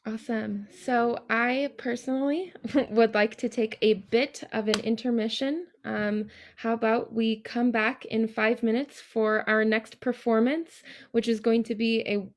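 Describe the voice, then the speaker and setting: A woman host with a strongly creaky, fairly high voice makes informal announcements. Her delivery is slow and halting, and she stays polite. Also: steady tone. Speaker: event host.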